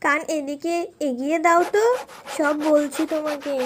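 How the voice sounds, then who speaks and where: Woman acting a very high-pitched scheming cartoon fox wife. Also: steady tone, moderate pace, background noise, close to an everyday voice. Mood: sly, coaxing. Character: scheming young fox wife, chatty and bossy.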